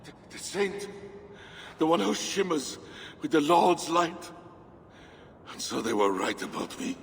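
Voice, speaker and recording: An actor playing a mad, scarred king in a video game, raving theatrically and haltingly about the demon inside him. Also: fairly high pitch, sing-song, vocal fry, echoey room, big-room sound, a little hiss or hum, full character voice. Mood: haunted reverence. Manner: theatrical, halting then erupting. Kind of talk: dramatic video game acting.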